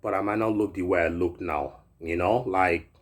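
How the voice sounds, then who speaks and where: Man, thirties, from Europe, lowish voice, chatty motivational vlog, keeps asking "right?". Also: steady tone, normal speed, slightly rough. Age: 30s. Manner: chatty, repeats "right?" often. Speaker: fitness YouTuber. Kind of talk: casual motivational vlog talk.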